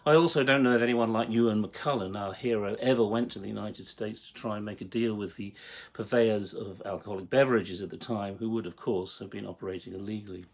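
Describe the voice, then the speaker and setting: A man giving a prepared explanatory monologue as a writer and producer, speaking in a measured, articulate way with emphatic phrasing. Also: fairly low pitch, steady tone. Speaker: writer and producer introducing his audio drama series.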